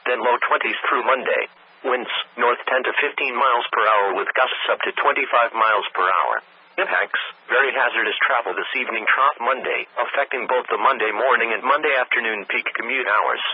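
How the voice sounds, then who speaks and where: A synthetic text-to-speech man reads a scripted weather warning bulletin over the radio in measured, impersonal, segmented phrases. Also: medium pitch, slightly rough, no echo. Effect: weather radio broadcast. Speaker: automated weather radio announcer. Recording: tinny narrowband weather radio broadcast.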